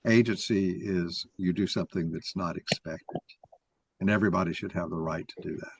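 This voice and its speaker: A man's voice: low-pitched, fairly even intonation, normal speed, slightly rough. A man giving an explanatory talk in an opinionated, didactic way, taking questions as he presents his argument.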